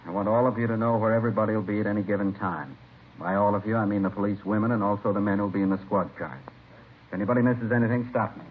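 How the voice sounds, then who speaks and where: Police captain male actor, low, authoritative, methodical, old-time radio drama, narrow muffled sound with light hiss. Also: fairly even intonation, rapid-fire, natural voice. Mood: businesslike. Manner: methodical, orderly, instructing. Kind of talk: 1950s radio crime drama acting.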